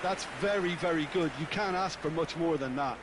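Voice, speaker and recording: Man, football game pundit, appraising remarks, high-pitched voice, clear stadium noise. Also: moderate pace. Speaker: football co-commentator in a video game. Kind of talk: analytical match commentary. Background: football game stadium ambience.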